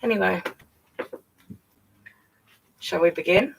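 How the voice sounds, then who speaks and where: A woman's voice: lowish voice, takes it slowly, creaky, distant mic, slight background noise, rough recording. A woman reading a picture book to young children, playfully breaking off for chatty asides and questions to the listeners. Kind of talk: read-aloud story time with chatty asides.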